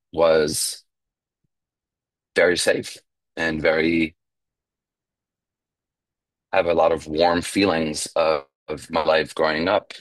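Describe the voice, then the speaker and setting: A young man with an extremely low, deep voice shares his life story at a recovery meeting. He grew up speaking Yiddish in New York and talks in a reflective, halting way. The sound is dry and close. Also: a bit bright, no echo, poor audio. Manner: reflective, halting, with fillers and restarts.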